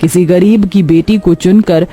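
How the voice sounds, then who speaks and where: A woman's voice: on the low side, fairly even intonation, a little slow, warm tone. A woman narrating a scripted cartoon story.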